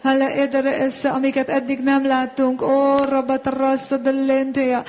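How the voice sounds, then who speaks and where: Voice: sits high, monotone, darkish tone, dull audio. A prayer leader praying fervently in an incantatory, repetitive way, slipping between words and chanted speaking in tongues.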